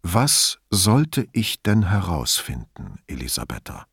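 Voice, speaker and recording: A man playing a calm, patient male investigator in an audiobook, asking probing questions in a quiet, measured and reserved way. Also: low-pitched, gravelly, husky, no room sound, clean studio sound, exaggerated voice. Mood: measured questioning. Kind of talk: audiobook character dialogue.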